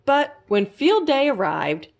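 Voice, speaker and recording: Animated woman reading a children's story. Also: sits high, slow pace, gravelly. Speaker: library storytime reader. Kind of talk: expressive children's read-aloud.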